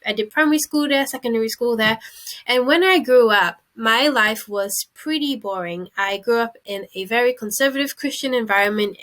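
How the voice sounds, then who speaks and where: A woman's voice: medium pitch, a bit bright. A young woman in her twenties from Singapore, chatting casually to her webcam in a small room, gesturing as she talks. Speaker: vlogger. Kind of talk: casual talk to camera.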